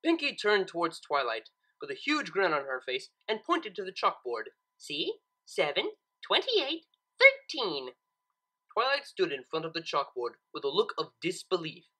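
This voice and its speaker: Bright, very animated woman narrating a story. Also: sits low, moderate pace, hardly any pauses. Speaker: fan fiction reader and narrator. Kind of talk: expressive scripted story narration.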